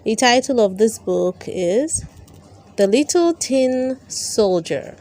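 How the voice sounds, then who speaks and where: A woman narrating a children's storybook aloud, with careful, expressive storytelling. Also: on the high side, slow pace. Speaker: storyteller, channel host.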